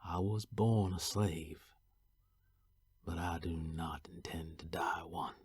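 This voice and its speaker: A male voice actor playing a young enslaved man fleeing to freedom, weary but determined, in a husky, breathy, heartfelt first-person historical narration. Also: sits low, fairly even intonation, slow pace, darkish tone, no room sound, heavily acted. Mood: reflective, resolute. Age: young adult.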